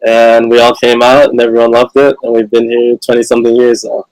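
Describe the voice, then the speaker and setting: A man's voice: mid-range voice, completely flat delivery, fairly quick, darkish tone, no room sound, low-quality recording. A young man from England with a mixed British, Dominican and American accent, talking reflectively as a filmmaker guest in a casual interview. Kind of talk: casual live-stream interview.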